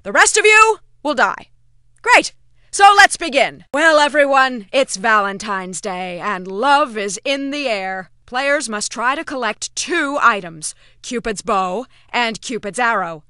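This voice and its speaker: A woman's voice: a little high, expressive, unhurried, sharp tone, dry recording, clean studio sound. A woman playing a chirpy, self-important cartoon small-town mayor, announcing races in a video game with theatrical, officious pep. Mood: brisk, official, then cheery, instructive. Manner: theatrical, peppy officious delivery. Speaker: race announcer.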